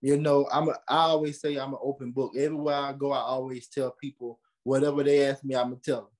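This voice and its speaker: A man's voice: mid-range voice, flat delivery, fast talker, a little mellow. A man, answering interview questions conversationally. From South Carolina, USA. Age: late teens. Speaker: interview guest, podcaster and motivational speaker.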